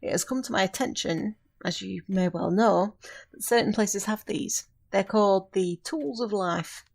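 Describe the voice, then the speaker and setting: A woman's voice: mid-range voice, vocal fry. A woman playing an earnest, grieving but insistent witch who mentors a novice in an audio drama, speaking formally and instructively. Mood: solemn, explaining. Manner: measured, instructive, formal phrasing. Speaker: voice actor in an amateur audio drama.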